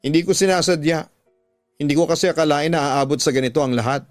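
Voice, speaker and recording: A guilty, unfaithful husband in a radio drama, voiced by a male narrator, pleading earnestly yet holding firm. The voice is fairly high for a man and closely recorded, with music underneath. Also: fast talker, no echo, lightly acted. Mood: remorseful. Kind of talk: Tagalog radio drama acting.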